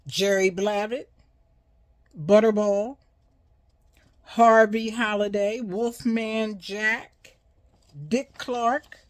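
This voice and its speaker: Older female host, 60s plus, unhurried halting delivery, close dry sound, informal educational talk. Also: mid-range voice, no room sound. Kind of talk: informal educational monologue on radio history.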